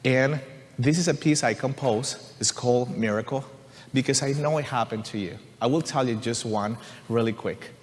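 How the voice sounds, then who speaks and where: A man's voice: medium pitch, normal speed. Man sharing testimony in a reverberant church. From Venezuela. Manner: earnest, conversational, leans on "you know" and "right?". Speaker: church musician and composer. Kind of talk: personal testimony to a congregation. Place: church sanctuary. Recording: long reverb of a large church hall.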